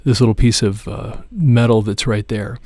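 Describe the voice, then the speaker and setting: A man's voice: lowish voice, moderate pace, a little mellow, no echo. Man giving a casual how-to, dry close sound. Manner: informal, plain-spoken step-by-step explaining. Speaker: repair tutorial presenter. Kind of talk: casual hands-on how-to demonstration.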